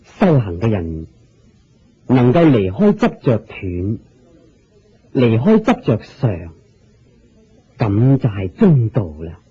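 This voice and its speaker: A slow, husky, serene Buddha. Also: male voice, mid-range voice, huge pitch swings, heavily acted. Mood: solemn, instructive. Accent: Hong Kong Cantonese. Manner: measured, sermon-like explaining in short phrases. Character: serene, wise Buddha patiently teaching a king. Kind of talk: Cantonese radio drama acting. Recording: dull lo-fi radio drama recording.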